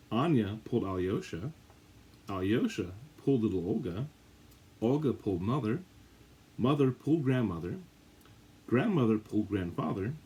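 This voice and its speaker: Male children's story reader, husky tone, highly varied intonation, theatrical stress on key words. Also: mellow. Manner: dramatic, drawn-out emphasis and repetition. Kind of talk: animated read-aloud of a children's picture book.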